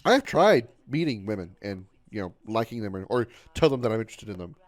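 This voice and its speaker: A man's voice: lowish voice, sing-song, quick pace. A man in his thirties chatting casually with viewers on a game livestream. He rambles, repeats himself, stumbles over his words and runs himself down in a glum way. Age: 30s. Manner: glum and self-deprecating. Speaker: game streamer.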